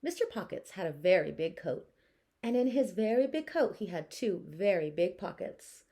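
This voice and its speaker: A woman teacher narrating a children's storybook aloud with expressive, deliberate phrasing. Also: fairly low pitch.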